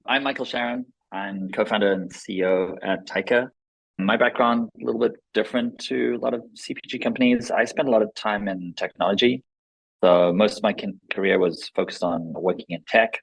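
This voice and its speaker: Deep-voiced husky man in his forties. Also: fairly even intonation, fairly quick, poor audio. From born in Israel, grew up in South Africa. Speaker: company co-founder and CEO, guest. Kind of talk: conversational podcast interview.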